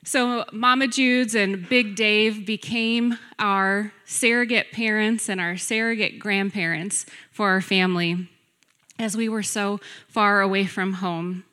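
A middle-aged woman giving a sermon as a guest preacher, earnest and deliberate, laying out lists with emphasis. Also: mid-range voice, steady tone, sharp tone, smooth. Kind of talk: sermon, personal teaching to a congregation.

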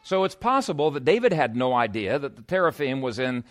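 A man's voice: mid-range voice, very animated, fairly quick. A man preaching an expository sermon in an emphatic, conversational teaching manner.

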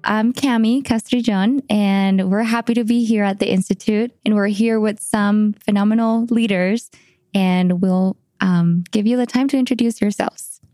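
A woman's voice: mid-range voice, steady tone, moderate pace, dark tone, no room sound, studio quality. Enthusiastic young woman from Colombia. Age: young adult. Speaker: podcast co-host.

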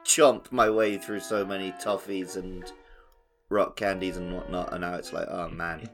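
A man's voice: deep voice, steady tone, measured pace, soft background music. A man co-hosting a gaming podcast, chatting casually in a wry, conversational way. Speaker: podcast co-host.

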